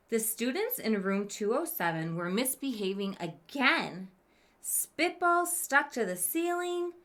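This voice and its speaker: Female schoolteacher for children's read-aloud; crisp bright tone, unrushed pace, expressive narration with chatty explanations. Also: a little high, slow. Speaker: elementary school teacher.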